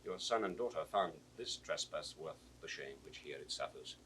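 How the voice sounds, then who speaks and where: An actor playing a loyal, plain-spoken servant, in firm, emphatic classical stage declamation. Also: male voice, extremely low pitch, expressive, normal speed, a bit bright. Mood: indignant. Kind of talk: classical Shakespearean stage acting. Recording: distant, hissy 1960s audio drama recording.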